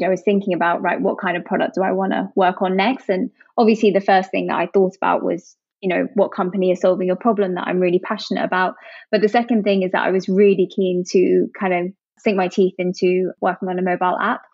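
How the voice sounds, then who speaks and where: A woman's voice: fairly low pitch, darkish tone, no echo. A young woman from Jersey, a product manager and interview guest, answering upbeat and chatty with lots of "actually" and "you know". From Jersey, Channel Islands. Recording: dull, dry sound.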